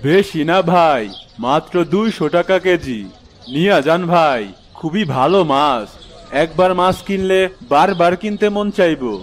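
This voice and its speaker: A man's voice: a little high, animated, unhurried, a little hiss or hum. A man voicing an eager, coaxing village fish seller in a cartoon. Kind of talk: cartoon character acting.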